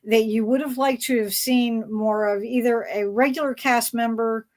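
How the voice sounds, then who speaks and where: A woman with a fairly high voice moderates a relaxed panel of fans. She speaks conversationally and haltingly, often pausing to find the right word as she puts questions to the panelists. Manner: halting, searching for words. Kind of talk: casual panel discussion.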